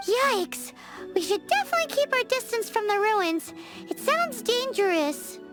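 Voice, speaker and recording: A woman voicing a small floating fairy companion girl who frets and exclaims, over loud game music. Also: extremely high pitch, very animated, measured pace, heavily acted. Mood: worried. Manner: worried, exclaiming, talks about herself in third person.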